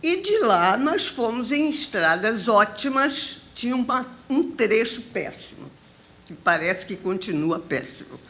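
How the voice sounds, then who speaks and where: A woman's voice: fairly high pitch, sing-song, unhurried, a bit bright. Chatty woman from southern Brazil. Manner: chatty, enthusiastic storytelling. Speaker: audience member. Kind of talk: public comment at a panel.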